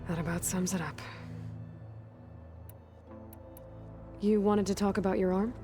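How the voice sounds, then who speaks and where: An actress playing a level-headed, guarded young heroine in a video game, with a dry, terse, practical delivery. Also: female voice, medium pitch, steady tone, fast, dark tone, soft background music, close to an everyday voice. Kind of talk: naturalistic video game acting.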